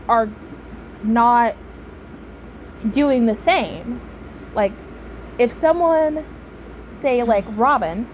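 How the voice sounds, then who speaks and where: A woman with a fairly high voice gives casual commentary on a story game. She talks very slowly and haltingly, reasoning aloud and circling back over words, with some noise behind her. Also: low-quality recording. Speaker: game streamer.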